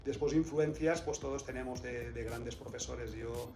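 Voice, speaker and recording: A man's voice: mid-range voice, steady tone, moderate pace, background noise. A man from Valencia, a clarinetist, answering interview questions thoughtfully, often restarting his sentences. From Valencia, Spain. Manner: reflective, many restarts and repeated words. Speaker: clarinetist in a quartet. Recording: echoey room.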